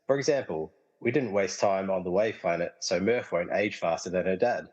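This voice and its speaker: A man playing an easygoing, casually explaining film lover trapped in a movie, in a comedy radio play, with a husky voice and long reverb. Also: sits low, normal speed, a little mellow, muffled recording. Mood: amused, casual. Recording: long reverberant hall echo.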